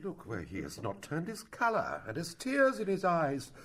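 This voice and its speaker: A man's voice: a little high, wildly swooping pitch, moderate pace, fairly bright tone, exaggerated voice. A man playing a fussy, officious older courtier in a theatrical Shakespearean style. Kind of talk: Shakespearean drama acting.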